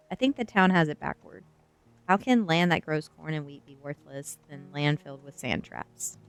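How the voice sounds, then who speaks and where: Low-pitched woman reading aloud on stream. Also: smooth. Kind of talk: reading a novel aloud on a livestream, with chat asides; ASMR reading.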